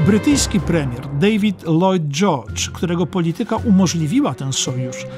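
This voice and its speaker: High-pitched male history narrator over music. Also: expressive, moderate pace. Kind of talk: scripted historical documentary narration.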